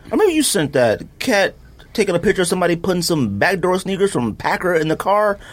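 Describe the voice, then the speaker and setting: Lively-pitched man in casual sneaker chat. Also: quick pace. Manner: animated, laughing, voice jumps high when incredulous. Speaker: podcast host.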